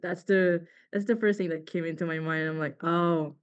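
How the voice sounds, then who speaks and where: A woman's voice: on the low side, steady tone, moderate pace, clear, resonant tone, lo-fi sound. A woman student speaking casually and a little hesitantly on a video call, sharing personal experience. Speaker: student participant.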